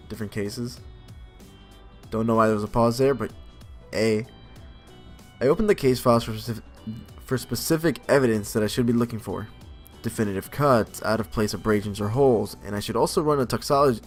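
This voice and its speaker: A man hosting a gaming video, reading game text aloud and adding casual commentary. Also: lowish voice.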